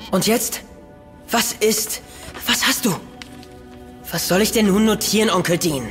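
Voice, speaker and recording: A man's voice: sits high, unhurried, a bit bright. A young man playing an anxious, obedient nephew in a German audio drama. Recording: long reverb, faint music behind.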